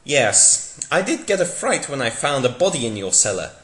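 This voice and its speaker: A man's voice: medium pitch, very animated, moderate pace, a bit bright. A man voicing a solemn, pompous time-travelling hero in a dramatic reading, with stilted, declamatory delivery. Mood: earnest. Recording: echoey room.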